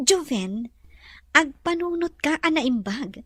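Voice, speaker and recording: A woman as a high-voiced, gentle young lady. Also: expressive, slow, dry recording, studio quality. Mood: friendly, teasing. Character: gentle, repentant young lady of the mansion, anxious bride-to-be. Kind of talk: audio drama acting.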